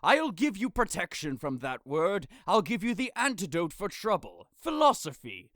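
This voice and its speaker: A man's voice: sits high, huge pitch swings, normal speed, fairly bright tone, no echo, clean studio sound, exaggerated voice. A man playing an exasperated, scolding priest in a modern-language Shakespeare audio drama, speaking emphatically. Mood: stern, reasoning.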